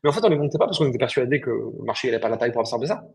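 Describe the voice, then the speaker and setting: A man talks business on a podcast. He speaks quickly, with a mid-pitched voice, and his explanations are blunt and full of slang. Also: creaky. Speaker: podcast co-host, agency founder. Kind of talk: casual business chat.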